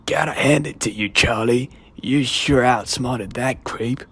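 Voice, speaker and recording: A man's voice: mid-range voice, a little slow, gravelly, a bit bright, slightly rough, exaggerated voice. A man playing a smug, jeering petty crook in a comic dub.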